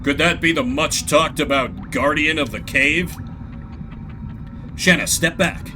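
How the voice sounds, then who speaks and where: A man's voice: on the high side, takes it slowly, voice pushed up. A man voicing an earnest young male swordsman hero in a role-playing game, reading the lines aloud over game music. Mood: determined.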